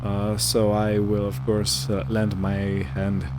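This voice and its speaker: A man giving casual roleplay gameplay commentary in a hesitant, rambling, unhurried manner. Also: on the low side, monotone, noisy background. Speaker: gaming video host.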